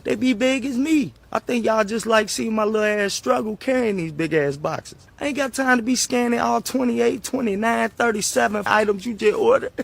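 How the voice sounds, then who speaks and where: A high-pitched man with a creaky edge complains in a casual, comic way. He is slangy and pleading, playing up his exasperation. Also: moderate pace, few pauses. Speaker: delivery driver. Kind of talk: casual comic rant to camera.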